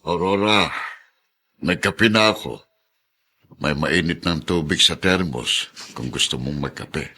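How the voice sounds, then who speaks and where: A man playing a weary older husband with a deep voice. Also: takes it slowly, long pauses, sharp tone, a little hiss or hum, full character voice. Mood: resigned. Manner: resigned, plain-spoken. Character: older husband, weary tricycle driver, resigned.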